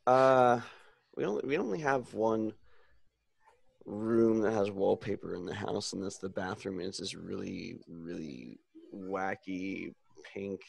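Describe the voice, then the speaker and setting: A man in his thirties with a raspy voice, co-hosting a casual podcast chat from home. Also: low-pitched, dry recording. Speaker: podcast co-host. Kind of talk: casual chat on a video call. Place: small room at home.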